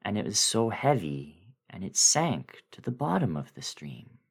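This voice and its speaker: A man giving a dramatic reading of a horror story in a deliberate, brooding way with dramatic swells. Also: lowish voice, very animated, gravelly, slightly rough. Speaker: dramatic reader.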